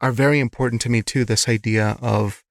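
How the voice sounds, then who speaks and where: A man hosting an interview podcast, his voice gravelly with strong vocal fry. The sound is close and dry, and he asks searching questions, often restarting words as he goes. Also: mid-range voice, fairly even intonation, brisk, no room sound. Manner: thoughtful, restarts words, affirming. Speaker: podcast host.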